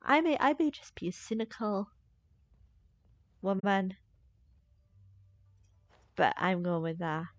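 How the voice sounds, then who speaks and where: A woman's voice: mid-range voice, expressive, takes it slowly, long pauses, no echo, dull audio. A woman livestreaming a game with casual commentary, musing aloud in a playfully sceptical way on a close mic. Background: faint game music.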